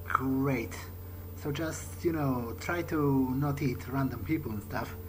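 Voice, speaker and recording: A man's voice: mid-range voice, slow pace, slight background noise. A man improvising in character during a video game, hesitant and stammering, often repeating words, with game ambience behind him. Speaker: gaming video host playing in character.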